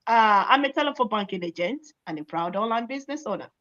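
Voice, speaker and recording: A woman's voice: medium pitch, expressive, fast talker, fairly bright tone. A woman from Nigeria, a guest on a casual live stream, speaking earnestly and with formal politeness. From Benin City, Nigeria. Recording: dull lo-fi audio with faint noise.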